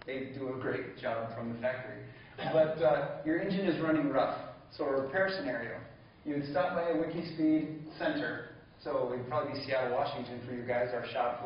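A man with a fairly high voice delivers an enthusiastic keynote speech in a large conference hall. The sound is dull and lo-fi, picked up from a distance, with heavy echo from the room. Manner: enthusiastic, laughs along with the audience. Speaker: keynote speaker.

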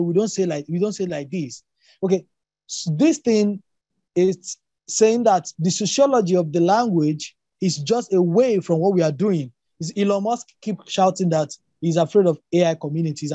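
A man who grew up speaking Yoruba talks through ideas in an academic discussion. His voice is high for a man and mellow in tone, recorded dry and close. He is emphatic, circling back to rephrase his points. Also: no echo. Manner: emphatic, repeats and rephrases, poses rhetorical questions.

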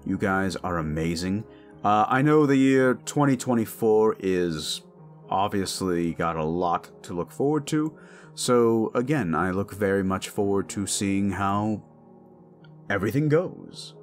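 A man speaking as host and voice actor, giving an enthusiastic, emphatic thank-you outro to listeners. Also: on the low side.

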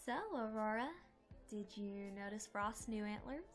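A woman voicing a gentle, calm young cartoon pony princess, kind and measured. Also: mid-range voice, faint music behind, lightly acted. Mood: teasing.

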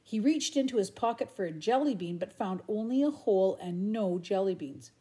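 A woman's voice: medium pitch, lively intonation, normal speed, warm tone. An older woman from Canada reading a children's storybook expressively at home. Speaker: storybook reader. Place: room at home.

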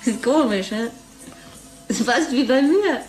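Slow, high-voiced tipsy wife. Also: female voice, lively intonation, darkish tone, faint music behind, poor audio. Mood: wry. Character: bored tipsy wife, sardonic and playfully dreamy. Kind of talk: radio drama acting.

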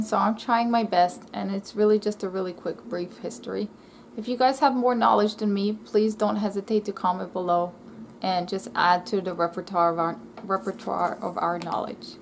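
A woman's voice: medium pitch, a little hiss or hum. A young woman from Israel chatting on her bedroom webcam vlog, reading notes with frequent ums and self-corrections. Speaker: language vlogger. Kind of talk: casual educational vlog. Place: small bedroom, indoors. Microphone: laptop webcam mic.

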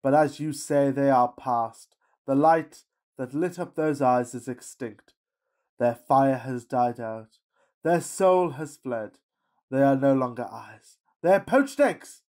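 Man playing a pompous lovestruck Victorian gentleman. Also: medium pitch, animated, slow. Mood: scornful. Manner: florid, grandiose, earnest declaiming. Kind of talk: farcical period radio play acting.

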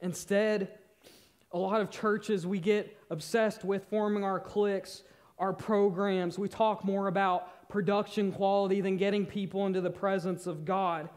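Young man, preacher, high voice, earnest sermon, loud and soft swings, church stage, clip-on mic. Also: little pitch movement, measured pace. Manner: paces the stage gesturing with both hands. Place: church stage in a large hall.

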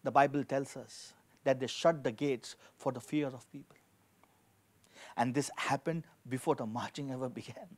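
A man's voice: medium pitch, steady tone, normal speed, a little mellow. A middle-aged man preaching a sermon as a pastor, emphatic, repeating key phrases and asking rhetorical questions. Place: indoor studio with dark backdrop, at a lectern. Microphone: handheld microphone.

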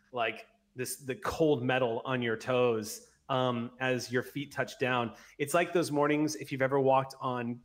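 A man in his forties narrating a tabletop roleplay as game master, descriptive and conversational, close on a studio mic. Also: medium pitch. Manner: descriptive, conversational storytelling with vivid detail. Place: small home room, video call. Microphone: close large studio microphone on an arm.

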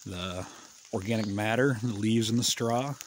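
A man's voice: on the low side, moderate pace, slight background noise. A man in his fifties gives a casual, rambling walking tour of his hillside garden, talking into a phone held at arm's length outdoors. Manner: relaxed, rambling, plain-spoken. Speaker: permaculture farmer. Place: outdoors on a wooded terraced hillside.

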